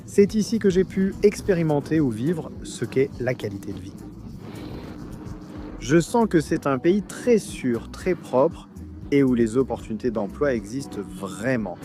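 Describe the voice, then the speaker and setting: A man's voice: medium pitch, expressive, a little slow, mellow, faint music behind. A man from Colombia giving an earnest, enthusiastic interview testimony.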